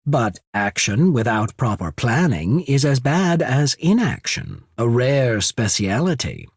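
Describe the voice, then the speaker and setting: A man's voice: mid-range voice, sing-song, a little slow, husky, no echo, clean studio sound, heavily acted. A man voicing a composed, courteous and shrewd diplomat of an island empire in a fantasy video game, speaking formally and with understatement. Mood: persuasive, calm. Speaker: voice actor for a video game character. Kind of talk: scripted fantasy game dialogue.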